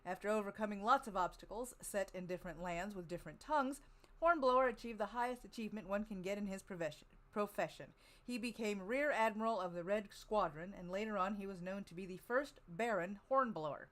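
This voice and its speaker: A woman's voice: medium pitch, fairly quick, a bit bright. A woman hosting a panel show, reading introductions and chatting casually between segments. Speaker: podcast host.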